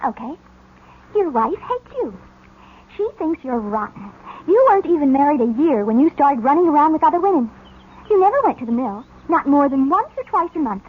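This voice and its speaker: A woman's voice: sits high, very animated, a little fast. An actress playing a brazen, coy sixteen-year-old girl who mocks and teases, in an old-time radio drama. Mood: smug, taunting. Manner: coy, mocking, giggly. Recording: muffled, noisy old radio recording.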